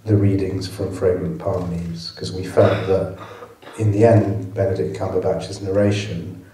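A man with a low, dark voice answers audience questions as a film director. He talks thoughtfully and often restarts his sentences. The sound is distant and echoey, like a hall heard from far off. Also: little pitch movement, a little slow, a little hiss or hum. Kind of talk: post-screening Q&A answers. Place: cinema auditorium.

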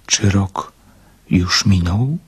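A man playing a shy, sympathetic confidant in a radio drama, hesitant and stammering, soft-hearted. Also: low-pitched, extremely slow, husky, dry recording, heavily acted. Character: gentle, sympathetic dreamer, shy confidant of a girl.

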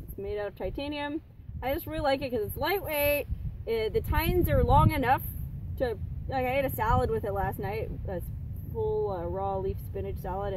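Blunt, chatty woman at an outdoor camp. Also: a little high. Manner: blunt, chatty, swears freely. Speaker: vlogger. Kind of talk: casual gear review. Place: outdoor campsite by a tent. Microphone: camera a few feet away. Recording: distant mic with outdoor noise.

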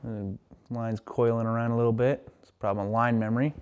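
A man's voice: mid-range voice, flat delivery, fast talker, darkish tone, dull audio. Young man in an echoey garage. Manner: plain, thinking aloud while working. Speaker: DIY tester. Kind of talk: casual workshop vlog. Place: garage workshop. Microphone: far camera.

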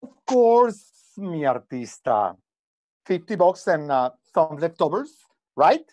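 A woman's voice: low voice, sing-song, slow, darkish tone, no room sound, muffled recording, exaggerated voice. A woman acting a stingy, coaxing female boss in a comedy table read, slipping some Spanish into English. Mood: wheedling. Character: wheedling, stingy older female boss pleading hard times.

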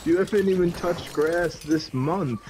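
A man's voice: on the high side, a little slow. A man chatting casually and profanely in slang while gaming with friends. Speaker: gamer in squad voice chat. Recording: noisy gaming voice chat.

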